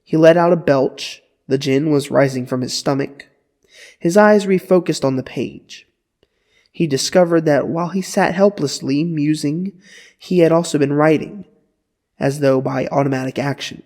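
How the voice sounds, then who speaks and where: A man narrating a dramatized literary audiobook with earnest, dramatic phrasing and deliberate pauses. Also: a little high, darkish tone. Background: guitar music.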